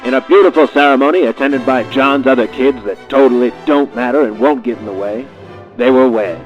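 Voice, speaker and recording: A man's voice: medium pitch, a little fast, natural voice. A man playing a mock-solemn, wryly snide storybook narrator in a comedy radio play. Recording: dull lo-fi radio sound with music.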